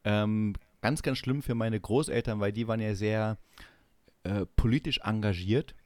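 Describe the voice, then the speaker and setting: A man's voice: fairly low pitch, moderate pace, a little mellow, no echo. A man from East Germany chatting casually and jokingly as a podcast host, often searching for words. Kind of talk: casual comic chat.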